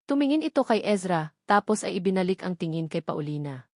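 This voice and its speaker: A woman's voice: fairly low pitch, big pitch swings, brisk, darkish tone, dry recording, clean studio sound. A woman with a Filipino accent narrating a romance story in a dramatic, scripted audiobook style.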